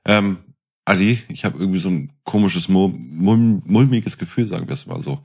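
A man's voice: low-pitched, normal speed, vocal fry, darkish tone, husky. A man bantering casually as a podcast co-host, playful and slangy.

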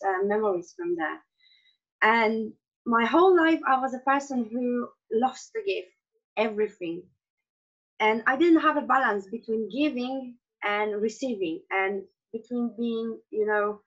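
A woman in her thirties from Poland, speaking English with a Polish accent. She is a podcast guest telling a personal story earnestly, pausing and restarting often. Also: on the high side, fairly bright tone, dull audio. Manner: earnest, with frequent pauses and restarts.

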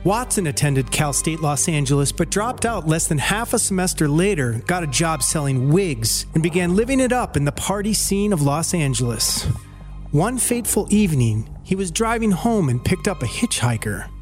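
A man's voice: on the high side, big pitch swings, moderate pace, soft background music. A man with an American accent reading scripted documentary narration as a storyteller.

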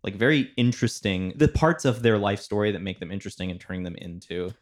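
Young male, American accent, slightly low pitch, very quick casual talker who restarts his phrases. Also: animated. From Italy and Zimbabwe, born in the US. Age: young adult. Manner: rapid, self-interrupting, restating phrases. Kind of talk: casual conversation.

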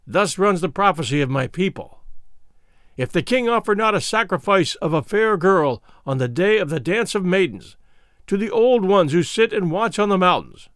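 A man's voice: sits high, big pitch swings, normal speed, fairly bright tone, dry recording, studio quality. A man playing a cruel king who makes grave, commanding pronouncements in archaic speech. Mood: solemn menace.

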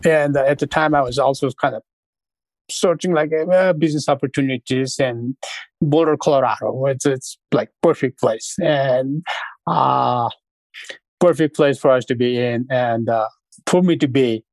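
Casting middle-aged man from Nepal, halting and unhurried. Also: medium pitch, no echo. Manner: unhurried, halting, with many fillers. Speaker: guest, restaurant founder. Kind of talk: casual interview storytelling.

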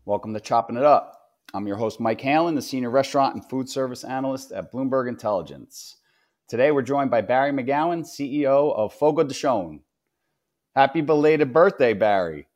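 A man's voice: mid-range voice, expressive, brisk, a little mellow. A man hosts a business podcast and asks friendly questions, often hesitating with fillers. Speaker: podcast host, analyst.

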